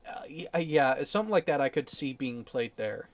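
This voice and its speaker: A man's voice: medium pitch, animated, fairly quick, no echo. Man, podcast host, casual chat, explains and opines. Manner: chatty, explains things, opinionated about sports.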